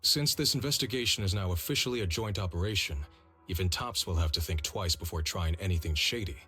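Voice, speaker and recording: An actor playing a steady, shrewd senior male disciple in a video game, with a low, husky voice and measured, reassuring manner. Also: soft background music, heavily acted.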